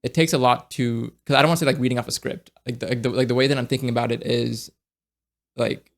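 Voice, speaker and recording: A young man speaks in a relaxed, conversational way. His voice is gravelly and full of vocal fry at a middling pitch, and he often trips over his words as he thinks aloud. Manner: halting, with many restarts and fillers; reflective, searching for words. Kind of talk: casual conversation.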